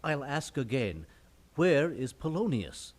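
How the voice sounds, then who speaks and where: A man's voice: mid-range voice, very animated, takes it slowly. A man acting a commanding, formal stepfather king in a theatrical Shakespearean puppet show. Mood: stern demanding. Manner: formal, imperious.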